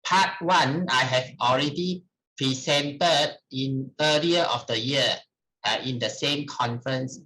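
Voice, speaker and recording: A middle-aged man with a Malaysian accent gives a formal academic presentation in a halting, deliberate manner. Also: medium pitch, bright, forceful tone, low-quality recording. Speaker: researcher presenting at a conference.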